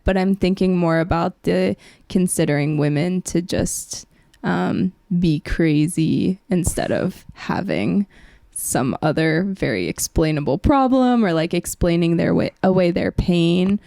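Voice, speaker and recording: A woman's voice: lowish voice, unhurried, vocal fry, mellow, no echo, studio quality. A young woman hosting a podcast, talking through ideas in a casual, tentative and reflective way. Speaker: podcast host. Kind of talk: thoughtful discussion, casual.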